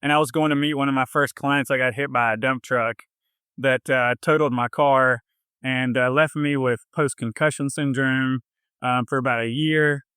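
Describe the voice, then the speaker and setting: A man with a Southern US accent telling his personal story in a casual interview, informal and a little halting in his phrasing. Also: medium pitch, fairly even intonation, bright, forceful tone, clean tone, no room sound, studio quality. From southern United States. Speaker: health coach, podcast guest.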